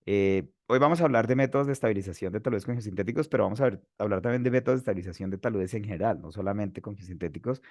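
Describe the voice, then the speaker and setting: A Colombian man in his forties with a Bogotá accent, speaking in a low voice on a webinar. He talks haltingly, filling pauses and restarting phrases. The sound is dry, with no room echo. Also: a little mellow. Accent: Bogotá Colombian Spanish. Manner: hesitant, many fillers and restarts. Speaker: webinar speaker, geotechnical engineer. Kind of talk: webinar lecture.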